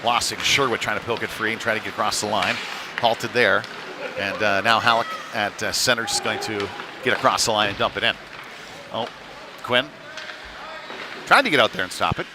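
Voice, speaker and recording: A man's voice: fairly low pitch, moderate pace. A man doing casual, conversational play-by-play commentary on a live hockey game, with slightly hesitant phrasing. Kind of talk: live amateur sports commentary. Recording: noisy live arena sound.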